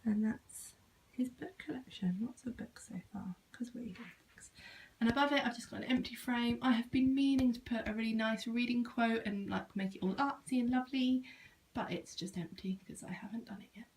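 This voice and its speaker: Casting: young British woman, husky with some creak, informal home vlogger, self-deprecating and unpolished. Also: fairly high pitch, fairly quick, a little mellow, poor audio. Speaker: vlogger giving a home tour. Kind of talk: casual informal chat to camera.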